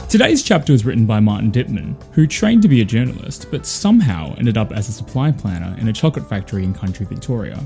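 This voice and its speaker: Casting: Australian male podcast host for scripted credits, lower register, light music underneath. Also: sing-song, fast talker, vocal fry, hardly any pauses, warm tone. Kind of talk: scripted outro announcement.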